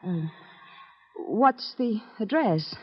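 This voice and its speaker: Slow, hesitant radio-drama companion. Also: female voice, medium pitch, expressive, breathy edge, heavily acted. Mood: startled, hesitant. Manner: hesitant, halting. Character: hesitant, curious woman companion of a detective. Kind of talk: 1940s-50s radio detective drama acting. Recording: noisy, dull old radio recording.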